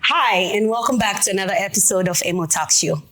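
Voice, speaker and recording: A woman hosting a talk show discussion, chatty and direct, with quick asides to her audience. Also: medium pitch, loud music behind the voice.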